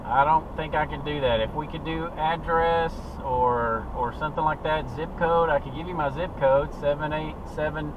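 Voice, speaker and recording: A man's voice: on the high side, steady tone, normal speed, hardly any pauses. A man talking on a phone call while driving, stalling with hesitant, confused answers. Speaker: scambaiter. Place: inside a moving car. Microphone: dashcam mic. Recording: distant, dull car mic with road noise.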